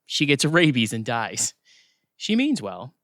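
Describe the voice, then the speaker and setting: A male actor plays a wisecracking, easygoing male gatekeeper of the afterlife in an audio comedy. His chatty, flippant delivery jumps around in pitch. The sound is dry, with no room around it. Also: wildly swooping pitch, slow pace, fairly bright tone, no room sound, full character voice. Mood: joking. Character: breezy, wisecracking male afterlife check-in attendant. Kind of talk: audio theatre comedy acting.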